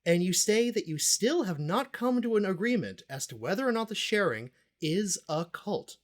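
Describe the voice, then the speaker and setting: An actor playing a self-important cult expert and investigator in an audio drama, speaking in a pompous, dramatic way with deliberate pauses. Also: medium pitch, very animated, lightly acted. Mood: probing, formal. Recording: music playing under the voice.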